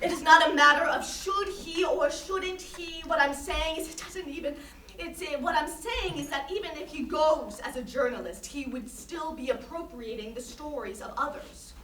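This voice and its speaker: A woman's voice: high voice, normal speed, bright, forceful tone. A woman acting an intense, exasperated Chinese intellectual in a staged play reading, lecturing emphatically and with condescension. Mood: insistent. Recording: roomy distant stage recording, faint noise.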